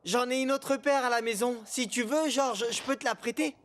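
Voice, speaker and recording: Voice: medium pitch, normal speed, fairly bright tone, close to an everyday voice. An actor voicing a friendly, eager young boy in a French-dubbed children's cartoon, chatty and enthusiastic. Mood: cheerful. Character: friendly, enthusiastic young boy who loves cross-country skiing.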